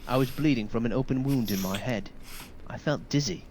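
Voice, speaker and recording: A man's voice: mid-range voice, brisk, darkish tone, a little hiss or hum, lightly acted, rough recording. An actor playing a grieving male soldier in a video game, speaking in a solemn, formal, deferential way. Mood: grim, haunted. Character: wounded, grieving male soldier recounting a massacre. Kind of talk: dramatic video game dialogue.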